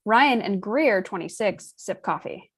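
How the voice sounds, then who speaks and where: A woman's voice: mid-range voice, lively intonation, a little slow, fairly bright tone, slightly rough. Woman reading stage directions. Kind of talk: scripted narration.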